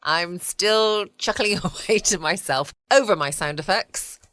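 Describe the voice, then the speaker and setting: A woman's voice: on the low side, unhurried, fairly bright tone, no echo. A woman with an English accent chatting as host of a bedtime podcast. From United Kingdom. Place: at home, dry close recording.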